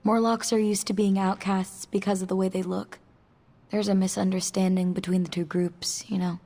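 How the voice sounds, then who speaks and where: A woman's voice: mid-range voice, little pitch movement, moderate pace, dark tone, lightly acted. A woman playing a guarded, earnest young mutant outcast in video game dialogue, speaking casually and candidly with some hesitation. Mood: uncertain.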